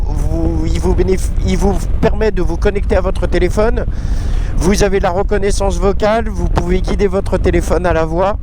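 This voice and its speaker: A man giving a casual, conversational product review, explaining things as he rides a motorbike outdoors. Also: sits high. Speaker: vlogger reviewing motorbike intercoms. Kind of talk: casual chatty product review while riding. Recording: noisy outdoor ride, engine and wind.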